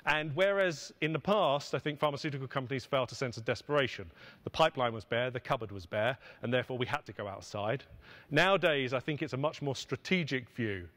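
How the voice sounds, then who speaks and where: A middle-aged man with a medium-pitched voice speaks from a conference stage. He delivers a prepared keynote in an executive register, setting out his phrases deliberately and pausing for emphasis. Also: expressive, fairly bright tone. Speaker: pharmaceutical finance executive giving a keynote. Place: on stage at a podium in a conference hall. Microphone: podium microphone.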